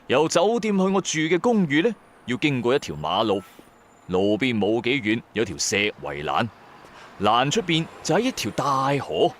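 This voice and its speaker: A man's voice: mid-range voice, moderate pace, a little hiss or hum. A man with a Cantonese accent narrating an audio drama in a colloquial, dramatised first-person style, with chatty asides to the listener. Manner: colloquial, animated storyteller with rhetorical asides.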